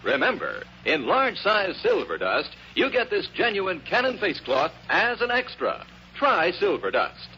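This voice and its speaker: A man delivers a scripted 1950s radio commercial. His high voice swings extremely widely in pitch, heard through a dull, faintly noisy broadcast. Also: wildly swooping pitch, a little slow, sharp tone.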